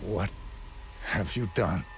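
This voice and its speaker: An actor playing a stoic, brooding assassin in a video game cutscene, with terse, deadpan delivery. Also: male voice, low-pitched, takes it slowly, very noisy. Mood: strained, grim.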